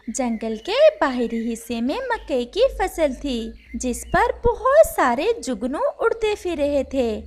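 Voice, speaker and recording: Unhurried woman narrating children's story. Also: high-pitched.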